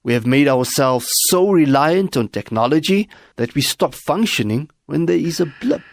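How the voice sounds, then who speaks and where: A man giving measured, scripted religious narration for an audio drama. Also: fairly high pitch, animated. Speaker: narrator and reciter of translations.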